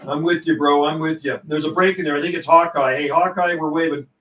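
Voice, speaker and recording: A man's voice: on the high side, steady tone, fairly quick, fairly bright tone, a little hiss or hum. A man hosting a CB radio livestream, chatting casually in slang and repeating and relaying messages between operators. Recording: roomy, distant microphone.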